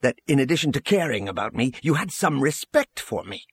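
A man's voice: mid-range voice, very animated, fairly quick, slightly rough, no room sound, clean studio sound, voice pushed up. A man voicing a stern, earnest general in an audiobook, blunt and pressing in his indignation. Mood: stern, pleading.

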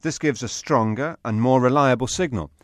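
A man's voice: medium pitch, moderate pace, no echo, clean studio sound, lightly acted. A man playing a knowledgeable TV technical director who explains things in a businesslike way. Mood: explaining. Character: eager male TV technical director, knowledgeable, instructing.

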